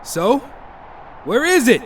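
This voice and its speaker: Exasperated young hero, acted by a man, swooping pitch. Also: big pitch swings, slow, slight background noise, exaggerated voice. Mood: angry shouting. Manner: grumbling, sarcastic, talking to himself. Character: exasperated young male hero alone on a quest. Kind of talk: audio drama acting.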